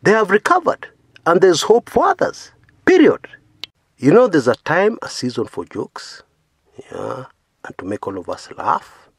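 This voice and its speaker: Male commentary host from Kenya, second-language English, earnest and emphatic, animated intonation, slightly husky. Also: mid-range voice, dry recording, studio quality. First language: not English. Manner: earnest, emphatic, frequent "yeah" tags, rising stresses on key words. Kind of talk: opinion commentary monologue.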